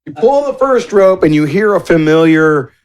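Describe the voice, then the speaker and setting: A man's voice: on the high side, expressive, normal speed. A man narrating a tabletop roleplaying game as game master in a casual, playful, improvised way.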